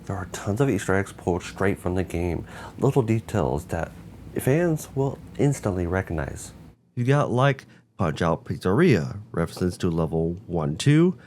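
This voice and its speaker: A man with a fairly low voice hosts a review in a semi-scripted narrating style. His intonation moves widely, with emphatic stress on key phrases. A light layer of background noise sits under the recording. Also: sing-song, moderate pace, a little mellow. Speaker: host of a video game and film review show.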